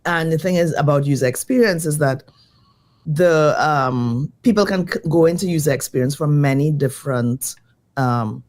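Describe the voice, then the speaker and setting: A woman design educator, slightly croaky from jet lag, answering interview questions in a lively, thoughtful way. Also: sits low, normal speed. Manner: lively, thoughtful, many fillers.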